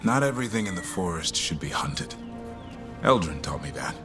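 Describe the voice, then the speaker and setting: An actor playing a proud elf monster hunter in a video game, gruff but fond, bristling when teased. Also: male voice, low-pitched, sing-song, normal speed, over music. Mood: fond, earnest.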